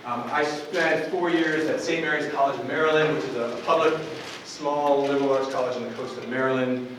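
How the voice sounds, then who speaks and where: A man in his forties from Buffalo, New York, giving a relaxed public lecture as a professor, with frequent ums and restarts. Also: medium pitch, brisk. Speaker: university professor. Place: campus lecture hall. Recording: echoey hall from far off with noise.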